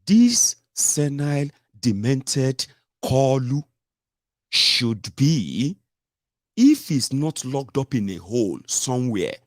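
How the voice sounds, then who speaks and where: A man's voice: medium pitch, very animated, takes it slowly, no room sound, studio quality. A middle-aged Nigerian man giving combative, mocking political commentary with rhetorical asides. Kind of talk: opinionated solo commentary.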